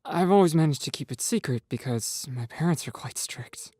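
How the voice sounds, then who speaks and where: A man's voice: a little high, animated, normal speed, dark tone, dry recording, studio quality. A man voicing a guarded, troubled teenage boy who speaks in a subdued, reluctant way in a storybook reading.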